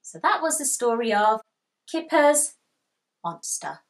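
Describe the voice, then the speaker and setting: A woman in her thirties reading a picture book aloud to children, expressive and deliberate, as a storytime host. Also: fairly high pitch. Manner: expressive, deliberate, asks listeners questions. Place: small furnished room indoors with bookshelves.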